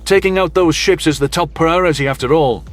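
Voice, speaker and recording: A man's voice: a little high, fairly quick, soft background music, close to an everyday voice. A man playing a hard-nosed, pragmatic human military officer in a sci-fi audio drama, with a firm, blunt manner.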